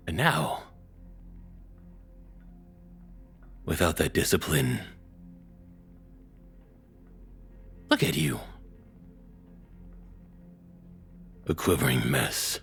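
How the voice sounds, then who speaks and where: An abusive, controlling ex-boyfriend, performed by a man speaks in an extremely deep, very raspy voice. He is domineering and contemptuous, delivering each taunt with deliberate menace. It is an intimate audio roleplay with faint music behind him. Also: fairly bright tone, full character voice. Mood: menacing.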